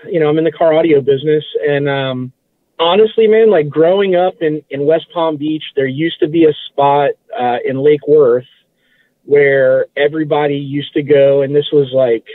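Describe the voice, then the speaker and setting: A man's voice: a little high, moderate pace, dry recording. A man from Massachusetts, a guest telling stories in a casual interview, hesitant, with many restarts. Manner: hesitant, lots of fillers and restarts. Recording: tinny phone line.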